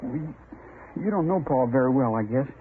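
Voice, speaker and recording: An actor playing a fearful, dutiful young frontier farm son in an old-time radio western, earnest and hesitant, with a rural American accent. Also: male voice, medium pitch, animated, a little fast, close to an everyday voice. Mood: worried. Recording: dull, noisy old radio recording.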